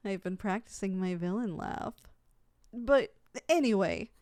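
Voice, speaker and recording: A woman's voice: mid-range voice, expressive, unhurried, darkish tone, no room sound, close to an everyday voice. A woman playing a proud but easily flustered, lovesick young supervillain in an affectionate, dramatic ASMR roleplay. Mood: proud, playful.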